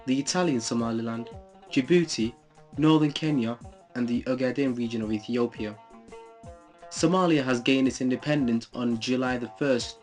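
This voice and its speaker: A man reading scripted documentary narration in a measured, factual way. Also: mid-range voice.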